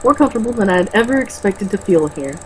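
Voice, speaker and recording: A woman's voice: mid-range voice, animated, quick pace, a little mellow, slight background noise, rough recording. A woman reading a novel aloud and breaking into exasperated, sarcastic, sweary commentary and casual banter.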